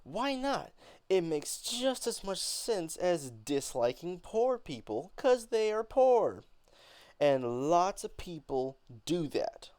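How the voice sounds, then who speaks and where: An angry, defiant young woman, as played by a man in an amateur stage-play reading. The pitch is raised and the words come out emphatic and halting, with pauses and stumbles. The sound is clean and dry. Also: big pitch swings, darkish tone, clean tone, no room sound, full character voice. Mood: indignant, scornful.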